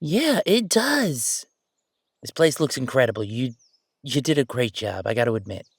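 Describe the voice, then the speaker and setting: Man acting as male ghost, bossy, teasing, sentimental, swooping intonation, mid pitch, conversational audio drama. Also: gravelly, husky, no echo, clean studio sound, full character voice. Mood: excited, teasing, then sincere, softened. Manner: animated, teasing, banter with pauses and repeats. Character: bossy, playful, sentimental male ghost roommate, dead a century.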